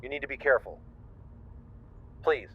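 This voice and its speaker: A man playing a quirky, earnest, fretful young investigator in an audio drama, deadpan and precise, heard over a phone call. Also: mid-range voice, moderate pace, slightly rough. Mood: concerned. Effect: phone call filter. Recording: thin, tinny phone line.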